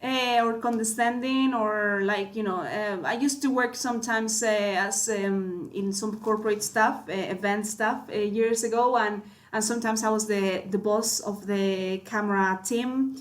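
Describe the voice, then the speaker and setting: A woman with a Spanish accent speaks as a guest in a casual panel talk. She talks haltingly, with many ums and repeated words as she gathers her thoughts. Her pitch sits in a middle range. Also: steady tone. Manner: hesitant, many fillers and restarts. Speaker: cinematographer guest.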